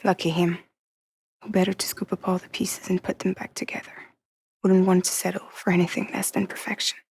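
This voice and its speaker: An actress playing a guarded, wry woman courier in a post-apocalyptic video game, with soft, breathy, measured and deadpan delivery. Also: on the low side, in a whisper. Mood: wry, resigned. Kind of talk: cinematic video game acting.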